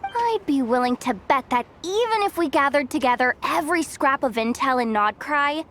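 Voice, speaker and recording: High-pitched, feisty young treasure hunter. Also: female voice, moderate pace. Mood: wry. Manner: informal, expressive. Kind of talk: video game voice acting.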